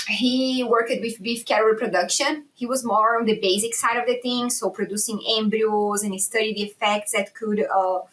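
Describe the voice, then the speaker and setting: A woman's voice: fairly high pitch, fairly bright tone, poor audio. A woman from Brazil in her thirties, with a Brazilian Portuguese accent, speaking as a guest on a podcast in a chatty, easygoing way with frequent restarts. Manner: chatty, laughs easily, with hesitant restarts. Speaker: guest researcher. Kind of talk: casual interview conversation.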